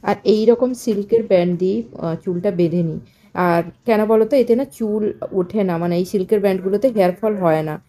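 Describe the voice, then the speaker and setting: Bengali girl vlogger, casual and chatty, explains stuff to viewers, tosses in english words, mid-pitched voice. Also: a little fast, dark tone. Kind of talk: casual vlog talk to viewers.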